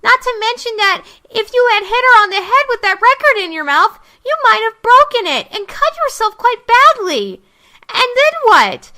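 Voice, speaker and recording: Woman voicing a very high, sharp scolding motherly pony. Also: full character voice. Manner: scolding, lecturing. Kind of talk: fan audio drama acting.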